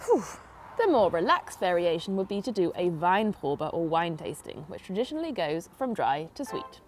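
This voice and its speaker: A woman in her thirties presents a travel show with a bouncy, animated voice that rises and falls a lot. Her delivery is upbeat and scripted. Soft music plays faintly behind her. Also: on the low side, moderate pace. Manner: lively, upbeat storytelling. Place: outdoors in town streets and vineyards.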